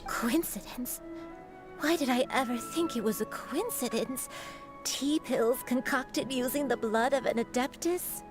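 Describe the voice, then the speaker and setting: A woman voicing an earnest, remorseful young crane disciple in a video game, solemn, reflective and halting. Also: high voice, music in the background. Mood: shaken disbelief. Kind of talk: dramatic video game voice acting.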